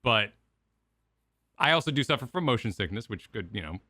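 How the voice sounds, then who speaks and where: A man's voice: medium pitch, sing-song, a little fast, sharp tone, no room sound, clean studio sound. A man co-hosting a gaming stream, discussing his critical opinions casually and choosing his words with care. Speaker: co-host of a gaming reaction stream.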